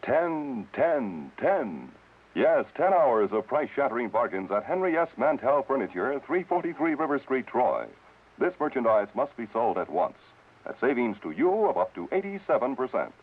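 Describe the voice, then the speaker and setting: A man's voice: medium pitch, very animated, normal speed, husky. Tinny hard-sell male announcer. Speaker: radio commercial announcer. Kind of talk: hard-sell 1950s radio advertising. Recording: tinny, phone-like old radio sound.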